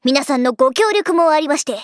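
High-pitched, bossy anime girl. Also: expressive, fairly quick, no echo, clean studio sound. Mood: mock-formal pride. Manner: grandiose mock-formal speeches, boyish casual phrasing. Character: bossy, theatrical teenage girl band producer. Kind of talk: Japanese anime radio drama acting.

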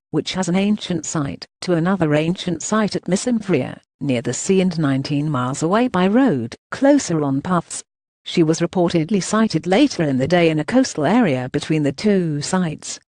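Flat synthetic male news voice. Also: on the high side, dry recording. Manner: flat, even text-to-speech delivery. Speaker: news reader. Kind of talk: reading news articles aloud.

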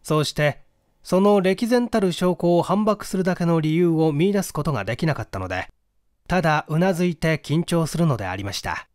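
Expressive male mystery narrator, dry sound. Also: a little high, brisk, no echo. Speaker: audiobook reader. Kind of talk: scripted first-person mystery narration with acted dialogue.

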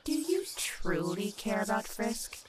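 A woman's voice: mid-range voice, very animated, measured pace. A woman voicing a sinister, mocking demon child in a dramatic comic dub, taunting cruelly and sometimes dropping into a whisper. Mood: coldly taunting.

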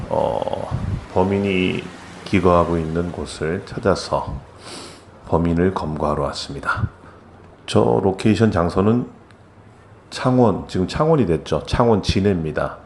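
Deep-voiced man recapping a film. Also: gravelly, darkish tone, a little hiss or hum. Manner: unhurried, frequent hesitation fillers. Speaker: film narrator and actor. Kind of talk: casual spoken film recap.

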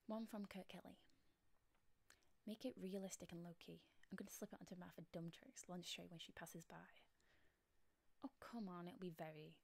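A young woman playing a domineering, sarcastic high-school queen bee in a soft-spoken, often whispered ASMR roleplay, close on the mic. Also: husky. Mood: scheming, smug. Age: young adult.

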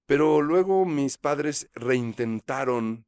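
A Mexican man in his fifties, a guest on a studio interview, telling stories in a relaxed, reflective way, speaking into a close studio microphone. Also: mid-range voice, slow, clear, resonant tone, no room sound. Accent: Mexican Spanish. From Mexico City. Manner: reflective, gestures while talking, frequent fillers. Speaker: actor guest. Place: small studio set. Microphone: studio mic on boom arm.

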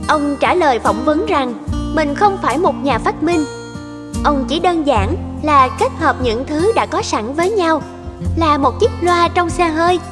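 A woman reading scripted narration for an animated story. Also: sits high, big-room sound. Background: background music.

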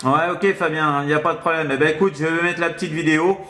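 A man's voice: a little high, little pitch movement, fast, bright, forceful tone, reverberant. A man working as a radio operator, chatting casually and hesitantly over the air, often tagging his sentences. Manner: hesitant, tags sentences with "hein". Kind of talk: casual radio contact chat. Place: indoors in an apartment, at a radio set.

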